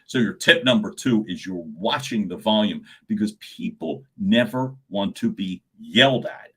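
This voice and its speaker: Loud, animated male speaking coach. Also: normal speed, breathy edge. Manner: animated, swings pitch and volume, chuckles often. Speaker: communication coach hosting a live stream. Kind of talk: live-streamed coaching talk.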